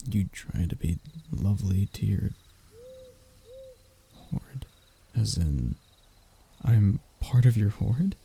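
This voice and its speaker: A man playing a lovesick young royal heir in an intimate romance roleplay, stammering and hesitant, with a husky, breathy voice. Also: fairly low pitch, warm tone, slight background noise. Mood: smitten, tender. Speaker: voice actor in audio roleplay.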